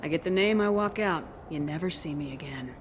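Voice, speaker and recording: A woman's voice: low voice, brisk, a little hiss or hum, lightly acted. A woman playing a tough, commanding military officer in a video game, speaking in a terse, firm and direct way. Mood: coolly threatening.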